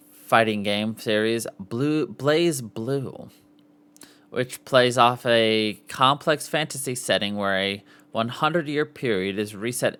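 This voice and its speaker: A man's voice: mid-range voice, a bit bright, clear, resonant tone. A man hosting a podcast, reading an article aloud with casual asides and sometimes stumbling and correcting himself. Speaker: podcast host.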